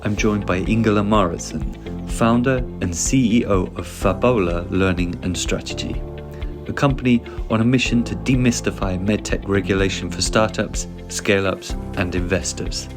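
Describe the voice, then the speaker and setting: A man hosting a podcast, reading a smooth scripted introduction and asking interview questions. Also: low-pitched, moderate pace. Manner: smooth, read-aloud delivery. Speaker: podcast host. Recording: music bed behind the voice. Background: music.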